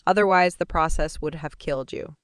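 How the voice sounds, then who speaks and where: A cruel sorcerer father taunts his captive daughter with cool, sneering amusement, as a woman reader puts him on. The voice sits low and smooth, read close to the mic in a dead-dry studio. It unfolds like a dark fantasy audiobook. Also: steady tone, a little fast, dry recording, lightly acted. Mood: cold. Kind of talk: audiobook dialogue reading.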